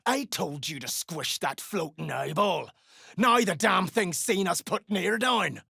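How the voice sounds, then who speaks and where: An actor playing a scheming, greedy, foul-mouthed duergar slaver in a fantasy video game, with a harsh, gruff delivery. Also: medium pitch, animated, unhurried, sharp tone, dry recording, clean studio sound, exaggerated voice. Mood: aggressive, greedy. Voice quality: very gravelly, harsh.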